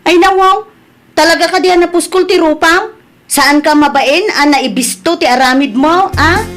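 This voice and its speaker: A woman's voice: extremely high pitch, normal speed, fairly bright tone. An actress playing an exasperated village wife, chiding and exclaiming at her shameless man in an Ilocano comedy radio drama.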